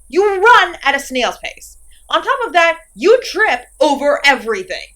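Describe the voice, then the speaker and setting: Woman acting a high, theatrical slasher villainess. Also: sharp tone, heavily acted. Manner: theatrical, sarcastic, scolding. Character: theatrical, exasperated, easily ranting masked slasher killer woman. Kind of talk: audio roleplay horror-comedy acting.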